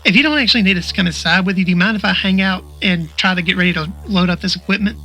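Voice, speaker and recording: A brisk, wry young man as a studio sidekick in an audio drama. He talks fast in a fairly high, very bright voice. His manner is casual, and music plays underneath. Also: low-quality recording. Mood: businesslike. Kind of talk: naturalistic audio drama acting.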